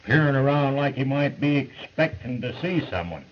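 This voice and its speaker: A man's voice: medium pitch, lively intonation, normal speed, highs cut off, a little hiss or hum, close to an everyday voice. An actor playing a joking, folksy frontier man with a wry, watchful manner, on an old film soundtrack.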